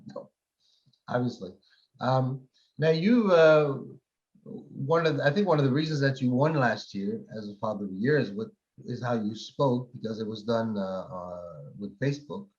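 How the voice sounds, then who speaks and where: A man from Morocco hosting a casual interview, talking quickly and repeating words as he searches for them. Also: medium pitch, darkish tone. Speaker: host. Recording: dull lo-fi sound.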